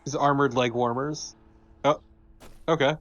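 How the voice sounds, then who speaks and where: A man's voice: mid-range voice, fairly even intonation, a little slow, a bit bright, muffled recording. A man co-commentating on a video game in casual banter, with dry, wry asides. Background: game music.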